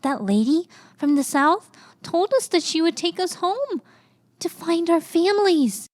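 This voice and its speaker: Woman playing very high-pitched excitable girl. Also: measured pace, dry recording. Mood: excited. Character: excitable, sweet young girl, May. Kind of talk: fan audiobook voice acting.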